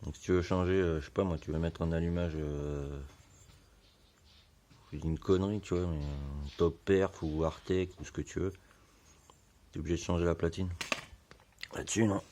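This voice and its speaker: Low hoarse man explaining casually. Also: normal speed, long pauses, a little hiss or hum. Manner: relaxed, slangy, many fillers. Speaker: motorbike hobbyist.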